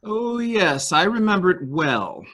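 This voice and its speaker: A performer playing a polite, hesitant servant loyal to the employer, with a husky voice, in a dramatic murder mystery reading. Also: mid-range voice, very animated, a bit bright. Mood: earnest, defensive. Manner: halting, polite, deferential.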